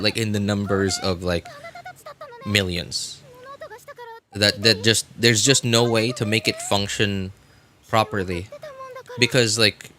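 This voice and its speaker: Bright-voiced man rambling casually on stream. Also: lowish voice, slight background noise. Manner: hesitant, searching for words, repeats phrases. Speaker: game livestreamer. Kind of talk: casual rambling livestream chat.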